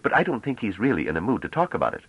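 A man doing a mild, gentle older scholar. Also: lowish voice, sing-song, racing, breathy edge, no room sound, voice pushed up, full character voice. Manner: mild, courteous. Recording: dull lo-fi dry audio.

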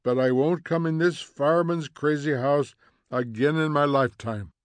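A shrill, indignant housewife, put on by a male narrator, scolding and accusing in a high, loud voice. She is an audiobook figure with a dry, close sound. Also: moderate pace, no echo, close to an everyday voice. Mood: huffy.

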